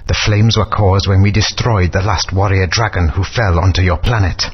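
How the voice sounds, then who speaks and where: An actor playing a stern, grave elder of an ancient alien race in a video game, speaking in a measured, authoritative way. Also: male voice, low voice, fairly even intonation. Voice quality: husky. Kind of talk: game voice acting. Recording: dull lo-fi game audio.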